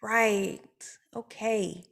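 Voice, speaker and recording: Woman reading awkward young writer lady, slow and kinda hesitant. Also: medium pitch, steady tone, gravelly, a bit bright, exaggerated voice. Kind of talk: table read of a comedy script.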